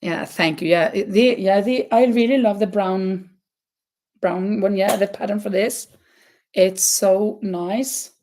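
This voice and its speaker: A woman with a non-native English accent chats with her viewers in a relaxed, rambling way. She laughs a lot and often pauses mid-sentence to search for the right English word. Her pitch sits in an ordinary middle range for a woman. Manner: chatty, laughs often, hunts for words. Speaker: crochet live-stream host. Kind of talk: casual live-stream chat, answering viewer comments.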